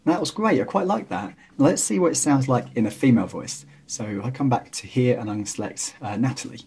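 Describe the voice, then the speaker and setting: Male tutorial host, 30s. Very husky tone, mid pitch, relaxed step-by-step explaining. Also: brisk. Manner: casual, explanatory. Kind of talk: screen-recorded software tutorial narration.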